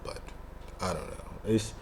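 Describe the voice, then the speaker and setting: A man's voice: unhurried, a little mellow. A man hosting a casual fan discussion, talking in a slangy, rambling, sometimes profane way. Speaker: show host.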